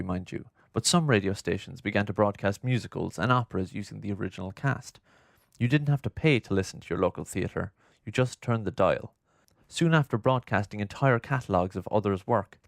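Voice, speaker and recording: A man narrating a scripted documentary in a formal, measured, literary way, close on the microphone. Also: on the low side, vocal fry, dry recording. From Ireland. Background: music.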